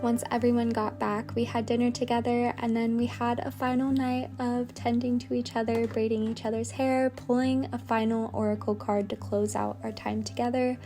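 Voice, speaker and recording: A woman in her twenties narrating her trip in a soft, even, heartfelt voice-over. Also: on the high side, normal speed, few pauses. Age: 20s. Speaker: vlogger and trip host. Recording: soft music behind.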